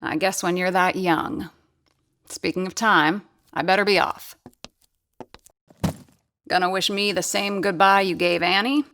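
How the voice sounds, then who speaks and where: A dry, teasing friend. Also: female voice, on the low side, steady tone, unhurried, pauses often, a bit bright. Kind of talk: audio drama acting.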